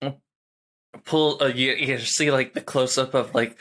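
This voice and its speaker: A man playing a tabletop game, narrating casually with a loose, halting, joking manner. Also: medium pitch, steady tone, fairly bright tone. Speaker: tabletop roleplay player.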